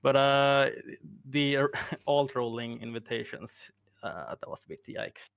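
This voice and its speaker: A man's voice: mid-range voice, expressive, measured pace, gravelly, smooth, no room sound, muffled recording. A Swedish man with a Swedish accent, modestly answering interview questions in a casual chat and laughing often. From Sweden. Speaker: interviewed tournament winner.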